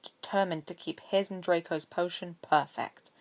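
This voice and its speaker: A woman's voice: on the low side, moderate pace. A woman reading scripted story narration as an amateur audiobook narrator.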